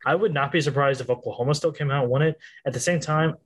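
A man's voice: on the high side, fast talker, breathy edge. Chatty, self-deprecating man talking football. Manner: talkative, self-deprecating, with restarts. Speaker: college football panelist. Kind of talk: casual sports podcast chat.

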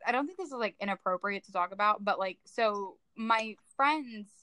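A woman's voice: medium pitch, normal speed, sharp tone, no room sound. A teenage girl co-hosting a podcast, chatting casually and earnestly, a little self-conscious. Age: teens. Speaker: podcast co-host. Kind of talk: casual chat.

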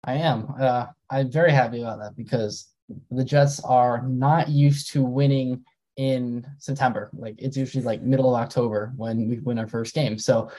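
A young man with a mellow, warm tone co-hosts a racing podcast. He talks loosely and casually, with plenty of "um" and "like". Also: medium pitch, moderate pace, low-quality recording. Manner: relaxed, many fillers like "um" and "like". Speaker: podcast co-host and writer.

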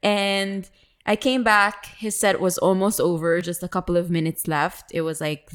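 A woman's voice: fairly low pitch, level tone, normal speed. A woman telling stories in a casual, candid and playful way, acting out bits of quoted dialogue. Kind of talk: casual conversational storytelling.

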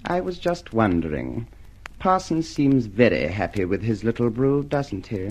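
An actor playing a cautious, dryly curious party colleague in a radio drama, mild and questioning. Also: male voice, fairly low pitch, very animated, a little slow, music in the background. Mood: quietly probing.

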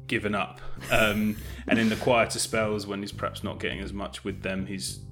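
A man's voice: fairly low pitch, level tone, normal speed, creaky, fairly bright tone, faint music behind. A man chatting casually as an interview guest, speaking in a hesitant, self-effacing way with trailing phrases. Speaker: guest, audio drama director and producer.

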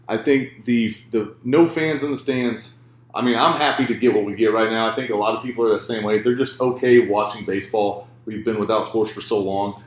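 Man, podcast co-host, mid-pitch, jokey and laughing, recorded in a roomy space. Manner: laughs often, jokey asides. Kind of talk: casual sports chat.

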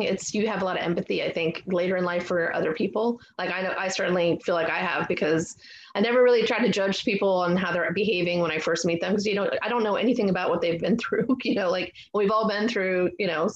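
A woman's voice: lowish voice, dull audio. Woman interviewer, casual chatty style, roomy echo and a bit of background noise. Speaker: interview show host.

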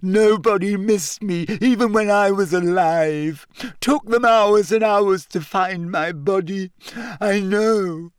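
A man voicing a glum, touchy ghost girl in an audiobook, mournful and self-pitying, turning shrill when upset. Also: slow, clear, resonant tone, dry recording, clean studio sound. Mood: self-pitying, aggrieved. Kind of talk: audiobook character voice.

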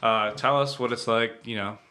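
A man's voice: medium pitch, steady tone, moderate pace, pushed and bright. A man hosting a casual podcast, talking in a loose, rambling way with lots of fillers. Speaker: podcast host.

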